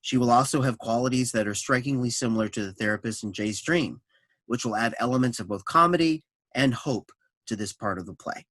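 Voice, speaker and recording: A man's voice: medium pitch, brisk, a bit bright, no echo. A man reading scripted stage directions and scene summaries for a staged play reading.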